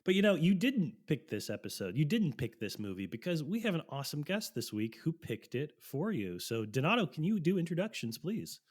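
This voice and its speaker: A man's voice: fairly high pitch, very animated, a little fast, hardly any pauses, breathy edge. Joking male podcast co-host with a cold. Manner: playful, jokey, swears casually. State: voice lowered by a long cold. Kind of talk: casual podcast chat.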